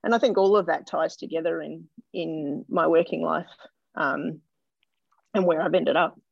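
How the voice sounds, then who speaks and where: An Australian woman, a doctor, answering interview questions in a thoughtful, hesitant way, with many pauses and restarts. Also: low voice, vocal fry, dry recording, lo-fi sound. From Australia (Melbourne).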